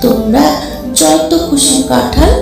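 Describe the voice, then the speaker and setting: A woman voicing a kind magical tree spirit in a children's cartoon, speaking slowly and solemnly as she grants gifts. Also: high voice, creaky, exaggerated voice. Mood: kind, reassuring. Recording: heavy echo effect, music behind.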